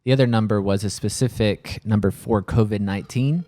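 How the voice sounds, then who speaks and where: A man's voice: lowish voice, brisk, warm tone. A man talking casually and earnestly to camera in a worried, frustrated manner, sometimes searching for words. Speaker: coffee shop owner filming a personal vlog.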